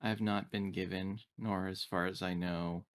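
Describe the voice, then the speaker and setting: A man's voice: deep voice, fairly even intonation, moderate pace, natural voice. A man voicing a polite, helpful android crew member in a tabletop sci-fi game, speaking formally and precisely. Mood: formal, dispassionate. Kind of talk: in-character tabletop sci-fi roleplay.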